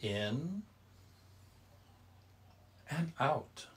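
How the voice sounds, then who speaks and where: A man leading a Buddhist temple service, reciting and guiding meditation in a measured, solemn, deliberate way. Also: medium pitch, sing-song. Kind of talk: reverent recitation and guided meditation.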